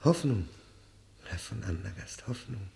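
An actor playing an embittered, haunted lifer in a German radio drama, brooding and intense, with halting dramatic pauses. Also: male voice, fairly low pitch, expressive, gravelly, dark tone. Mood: anguished, bitter. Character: embittered, haunted male prisoner serving life.

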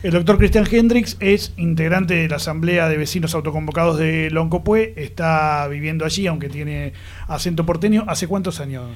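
A man hosts a radio interview in a studio, talking in a chatty, informal way and mixing in small jokes. His voice sits somewhat high for a man. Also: moderate pace. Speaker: radio host.

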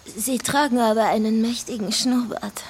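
A woman's voice: on the high side, steady tone, normal speed, a little hiss or hum, natural voice. An actress playing an evasive, defiant mother in a German audio drama, breezy and cagey in manner. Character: evasive, defiant mother living with a brutal man. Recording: music behind the voice.

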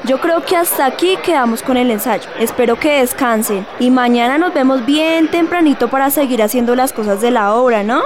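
A woman plays a kindly theatre director sending the cast home. Her voice is high, and music plays behind in this radio drama scene. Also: normal speed, hardly any pauses, natural voice. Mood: kindly, winding down. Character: kindly theatre director ending a late rehearsal.

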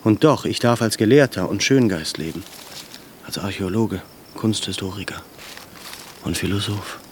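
Actor doing a thoughtful scholarly dad in a radio play, low gravelly guy, bit strict, faint insect ambience behind. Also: slight background noise, close to an everyday voice. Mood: brooding. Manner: slow, halting, introspective. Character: pensive, scholarly Armenian father in his thirties, gently strict. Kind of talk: radio drama acting.

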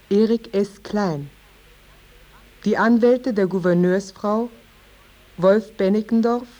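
A woman's voice: mid-range voice, warm tone, muffled recording. A woman radio announcer reading scripted credits in a formal manner.

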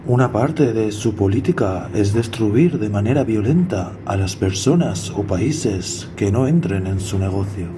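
A man's voice: fairly low pitch, lively intonation, unhurried, hardly any pauses. A man acting in a radio drama as a self-assured antimilitarist train passenger, speaking in an earnest, boastful, lecturing way. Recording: clearly noisy background.

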